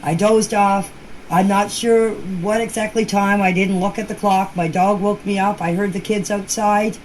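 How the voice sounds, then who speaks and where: A woman's voice: mid-range voice, fairly even intonation, normal speed, darkish tone. Plain-spoken woman on noisy voice message. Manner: emphatic, plain-spoken, repeats herself for emphasis; colloquial, non-standard grammar. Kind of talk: informal voice messages answering questions in a group chat. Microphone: voice clips recorded for a group chat. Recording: noisy informal voice-message recording.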